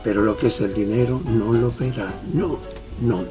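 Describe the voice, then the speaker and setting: An actor playing a worldly-wise Italian grocer in a radio play, with an Italian accent and a sly, good-natured scolding manner. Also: male voice, medium pitch, fairly even intonation, moderate pace, lightly acted. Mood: exasperated. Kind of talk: radio drama acting. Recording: music behind the voice.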